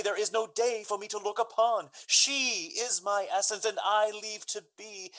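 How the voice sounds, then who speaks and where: A man playing a slow, anguished young lover. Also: on the high side, fairly bright tone, low-quality recording. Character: lovesick, despairing young gentleman facing banishment. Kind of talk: Shakespearean radio drama acting.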